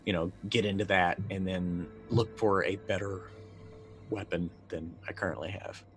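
A middle-aged man with a lowish voice. He chats casually as a roleplaying player, feeling his way through each action aloud. Music runs underneath. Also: steady tone. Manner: halting, thinking aloud. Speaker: player in a tabletop roleplaying game. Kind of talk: casual chat, narrating his character's actions. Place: indoor room, video call. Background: background music.

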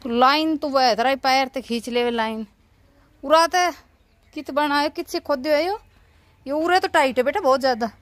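A woman's voice: high-pitched, moderate pace. A middle-aged woman with a Haryanvi accent chatting casually and giving instructions in a home vlog. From rural Haryana, India. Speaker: family vlogger, mother. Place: village house and open courtyard. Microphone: handheld phone.

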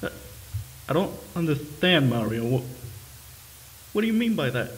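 A man's voice: a little high, sing-song, moderate pace, rough recording. An actor playing a timid, devoted video game brother who speaks hesitantly and earnestly, stammering as he goes. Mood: anxious, pleading.

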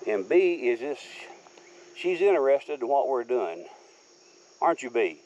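A man's voice: mid-range voice, big pitch swings, measured pace, darkish tone, slight background noise. A man rambling casually as he films a walk around his wooded homestead. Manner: easygoing, rambling, folksy. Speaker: homesteader showing his land. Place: outdoors on rural wooded land. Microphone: handheld camera. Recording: slightly dull outdoor camera audio. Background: crickets and insects.

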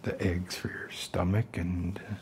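A middle-aged man acting an unstable, falsely gentle survivalist in a soft-spoken ASMR roleplay, rambling haltingly. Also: sits low, level tone. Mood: tender, earnest. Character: unstable, falsely gentle self-appointed protector, survivalist loner.

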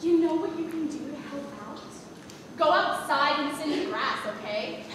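A woman's voice: high-pitched, normal speed, close to an everyday voice. A young woman on stage in a school play, playing a bossy, power-drunk teenage stage manager, domineering, curt and sarcastic. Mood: curt, dismissive. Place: theatre stage, filmed from the audience. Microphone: far camera. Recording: distant, echoey, noisy theatre hall recording.

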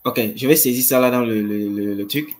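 Young man, livestream host, enthusiastic, casual, mid-pitched voice, emphatic repetition, video call. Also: a little fast, darkish tone. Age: 20s. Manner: enthusiastic, repeats phrases for emphasis. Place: indoor room with wood-panelled wall. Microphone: webcam video call.

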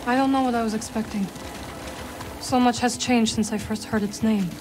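An actress playing a resolute, guarded young witch in a video game, speaking in a measured, solemn way. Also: fairly high pitch, steady tone, close to an everyday voice. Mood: grim resolve. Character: resolute, guarded young woman, a Force-wielding witch ally. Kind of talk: cinematic video game acting. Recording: music behind the voice.

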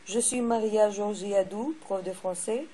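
A woman in her thirties, a French teacher from Greece speaking French, giving a polite, measured introduction of her class over a laptop webcam. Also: medium pitch, dark tone, poor audio. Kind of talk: brief formal class introduction.

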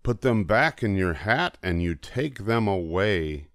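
A man's voice: on the low side, animated, takes it slowly, fairly bright tone, dry recording, studio quality, heavily acted. An older man voicing a worried, scolding child in a children's storybook, protesting emphatically. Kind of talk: children's storybook character reading.

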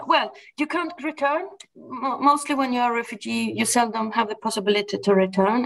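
A middle-aged woman from Argentina with a Spanish accent, a gardener speaking thoughtfully to an audience, pausing and restarting often. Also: on the high side, gravelly, fairly bright tone, rough recording. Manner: thoughtful, halting with frequent restarts and fillers. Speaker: gardener and project manager giving a talk. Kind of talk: personal talk to an audience.

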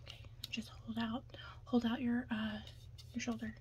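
A young woman doing an ASMR roleplay in whispers and soft talk, hushed, chatty and a little hesitant, recorded close up indoors. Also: fairly high pitch, little pitch movement, moderate pace, slight background noise.

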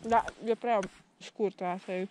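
A young woman chatting casually with a friend on a snowy mountain, self-mocking and shrugging things off, often quietly. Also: mid-range voice, steady tone, slight background noise. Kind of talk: casual chat between friends. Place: snowy mountain meadow outdoors.

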